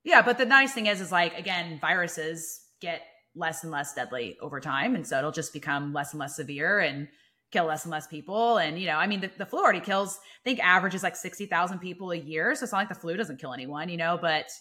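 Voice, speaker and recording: A woman's voice: on the low side, sharp tone. A young woman co-hosting a fitness podcast, easygoing and agreeable as she chats. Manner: easygoing, agreeable, quick to chime in. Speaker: fitness coach and podcast co-host. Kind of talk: casual podcast chat.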